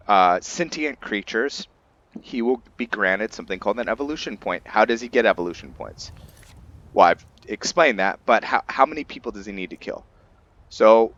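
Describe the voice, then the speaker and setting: A man hosting a gaming video, giving casual, halting commentary in an informal, rambling way. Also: on the low side, fairly bright tone, dry recording, dull audio. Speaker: gaming channel host. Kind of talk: casual gameplay commentary introducing a roleplay character.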